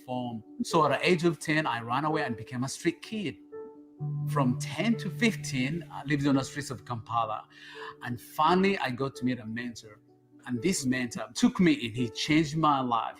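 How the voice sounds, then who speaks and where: A man speaks at a mid pitch, slowly and earnestly, pausing as he reflects. His testimony has a heartfelt, documentary feel, with faint music playing underneath. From Uganda. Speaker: foster and adoptive father. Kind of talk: personal testimony in a documentary clip.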